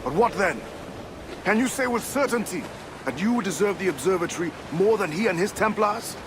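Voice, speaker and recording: An actor playing a principled, wary pirate quartermaster in a video game, measured and grave. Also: male voice, high-pitched, lively intonation, noisy background. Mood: grave, urging. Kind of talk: video game cutscene acting.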